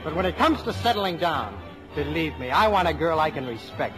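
American teenage boy, fairly high animated voice, sincere, slangy, 1950s radio comedy. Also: brisk, over music. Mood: earnest. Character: smitten, earnest American high-school boy. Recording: old radio broadcast.

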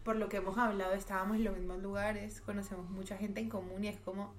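A young woman from Barranquilla with a light Colombian coastal accent mixed with Bogotá speech, a guest chatting warmly and a little shyly. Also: mid-range voice, level tone, fast talker, a little hiss or hum. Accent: mild Colombian coastal mixed with Bogotá. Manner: warm, agreeing, a little shy. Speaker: podcast guest, singer. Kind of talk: casual chat.

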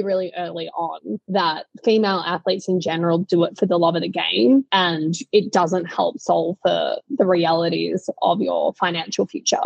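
A woman's voice: lowish voice, creaky, slightly rough, no echo. An Australian woman co-hosting a podcast, talking casually and candidly with an Australian accent on a slightly dull recording. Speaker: podcast co-host.

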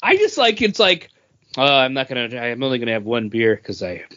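A man's voice: medium pitch, very animated, a little fast, fairly bright tone, no echo, muffled recording. A man co-hosting a podcast with casual, joking chat. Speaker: podcast co-host.

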